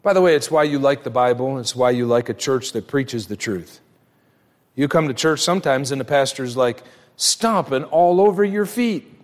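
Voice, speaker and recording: Male preacher, mid-pitched, emphatic and direct sermon delivery, long echoing hall sound. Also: normal speed. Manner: emphatic, direct address with rhetorical questions; acts out imagined dialogue. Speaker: pastor preaching. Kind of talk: church sermon.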